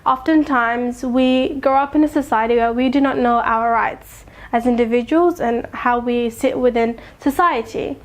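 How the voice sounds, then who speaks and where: A young woman with a high, light voice speaks in a reflective interview. She is earnest and thoughtful, and she pauses often to find her words. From Afghanistan. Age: young adult. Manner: thoughtful, earnest, with soft laughs and frequent ums. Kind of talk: reflective personal testimony.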